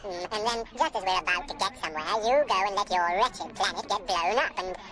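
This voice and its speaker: A woman's voice: medium pitch, brisk, music in the background, close to an everyday voice. A woman playing a self-important, businesslike talking mouse with a bossy, dismissive manner in a comic radio drama. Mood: weary, businesslike.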